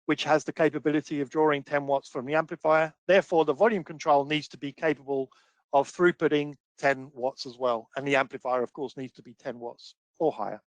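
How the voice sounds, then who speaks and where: A man presenting a technical webinar as a trainer, explaining step by step with examples in a measured way. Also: a little high, flat delivery, dry recording.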